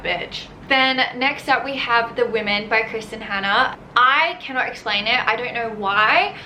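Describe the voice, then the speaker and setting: A woman's voice: on the high side, bright, forceful tone, echoey room, slight background noise, poor audio. An Australian woman talking casually to camera as a book vlogger, bubbly and gushing.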